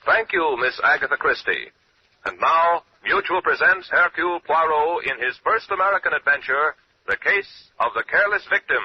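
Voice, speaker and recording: A man's voice: on the high side, lively intonation, moderate pace, lo-fi sound, slight background noise. A man announcing a radio program in a formal, old-time broadcast style.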